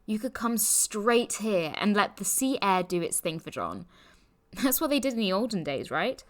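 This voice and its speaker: A woman's voice: medium pitch, big pitch swings, normal speed, natural voice. A woman acting in an audio drama as a playful, teasing, breezy young woman chatting to friends. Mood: teasing, affectionate. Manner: bubbly, teasing. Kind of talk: audio drama, podcast-style voice message.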